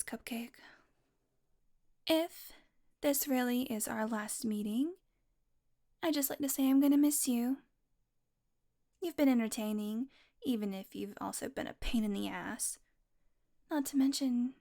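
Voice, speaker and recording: Smug lady vampire, done by a voice actress, highish voice, teasing and drawly, drops to breathy murmurs, audio roleplay. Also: a little slow, long pauses, mellow. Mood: taunting, wistful. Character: smug, teasing female vampire, predatory old enemy. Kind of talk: intimate dramatic audio roleplay.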